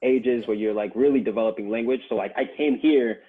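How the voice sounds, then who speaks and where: A man's voice: mid-range voice, fairly quick. A young man born in Japan, a podcast guest, chatting in a laid-back way with many fillers and laughs. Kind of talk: casual podcast conversation. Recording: tinny phone-like line.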